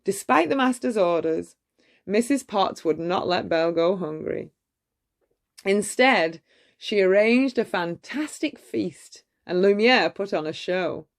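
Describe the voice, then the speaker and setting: A woman reading a bedtime story aloud in an expressive, measured way. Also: medium pitch, gravelly.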